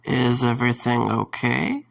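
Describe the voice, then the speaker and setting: A man playing a wry, concerned young man in an audio drama, speaking in a low-key, halting way. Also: creaky, a bit bright. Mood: worried.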